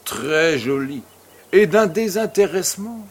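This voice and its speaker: An actor playing a courteous, worldly baron in a French audio drama, with a polite and playful flourish. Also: male voice, fairly high pitch, expressive, slow pace, slightly rough, heavily acted. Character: courteous, worldly Parisian baron, suave and amused.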